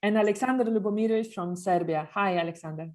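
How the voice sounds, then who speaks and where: A woman's voice: mid-range voice, fast talker, a little mellow. A woman hosting a podcast, introducing and moderating in a presenter's scripted style. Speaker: podcast host. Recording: music behind the voice.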